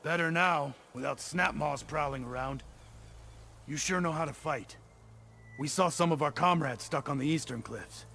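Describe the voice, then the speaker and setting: A man's voice: a little high, normal speed, vocal fry, bright, forceful tone, slight background noise. An actor playing a grateful, plain-spoken male soldier.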